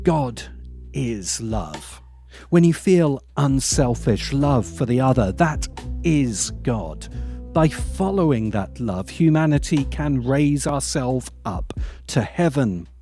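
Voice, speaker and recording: A man narrating a scripted video essay in a measured way, with emphatic pauses and wry asides. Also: medium pitch, darkish tone.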